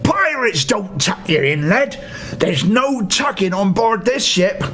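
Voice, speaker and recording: An older man voicing a blustering, hearty pirate captain with bellowed, drawn-out lines for a children's storybook. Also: very animated, a bit bright, full character voice. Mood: bellowing. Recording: noisy home recording with rumble.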